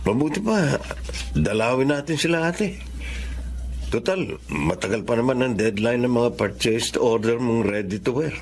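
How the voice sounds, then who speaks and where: A man plays a caring adult younger brother in a Filipino radio-style drama. He sounds solicitous and considerate, in an average-pitched voice. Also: animated, moderate pace, lightly acted. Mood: thoughtful.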